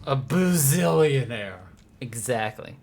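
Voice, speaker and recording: A man's voice: mid-range voice, expressive, slow pace, gravelly, darkish tone, a little hiss or hum. A male streamer making joking, offhand remarks during casual gameplay commentary.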